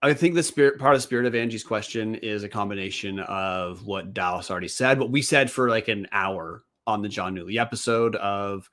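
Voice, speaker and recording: A man's voice: lowish voice, brisk, hardly any pauses, a bit bright. A man co-hosting a casual sports podcast, teasing his co-hosts and rambling as he talks. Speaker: podcast co-host.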